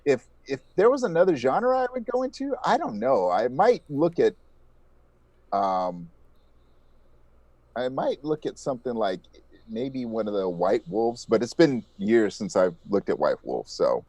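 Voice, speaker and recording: A man's voice: mid-range voice, very animated, unhurried, darkish tone, dry recording. Man chatting casually in an interview, dry sound. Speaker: podcast creator being interviewed.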